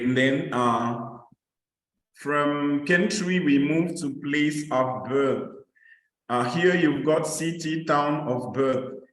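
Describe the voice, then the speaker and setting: A man's voice: medium pitch, roomy sound, poor audio. A Cameroonian man mentoring teachers on a video call, walking through an application form with repeated examples and many hesitations. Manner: explanatory, repeats points with examples, frequent uh fillers. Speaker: mentor presenting application guidance.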